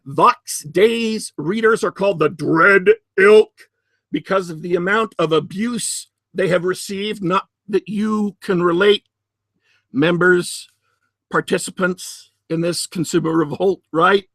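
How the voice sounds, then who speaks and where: A man hosting a podcast, giving solo commentary in a deliberate, emphatic way with sardonic asides. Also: sits high, a bit bright, no echo. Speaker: podcast host.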